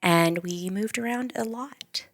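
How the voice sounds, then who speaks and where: A woman from Mexico, whose first language is Spanish, talking candidly as a podcast guest, measured with dry humor. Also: mid-range voice, no echo. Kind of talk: candid conversational storytelling.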